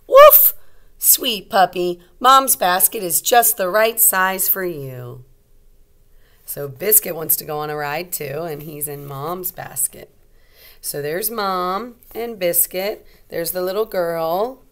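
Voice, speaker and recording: A woman teacher reading a storybook aloud to young children, expressively, pausing to explain and ask simple questions. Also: on the low side, a bit bright.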